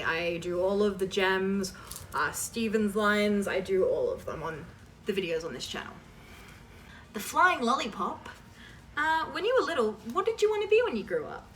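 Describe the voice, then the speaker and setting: A woman's voice: mid-range voice, a bit bright. Girl doing a casual q&a, rambly and self deprecating, kinda far from the mic w faint noise. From Canada. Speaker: host of a fan voice-acting channel. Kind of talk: casual question-and-answer chat.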